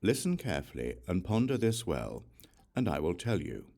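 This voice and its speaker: A serene, authoritative Buddha read by a man instructs a disciple in an audiobook scripture. The voice is very deep, with broad swings in pitch, and the teaching comes out solemn and deliberate. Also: very animated, vocal fry, mellow, heavily acted. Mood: solemn instruction.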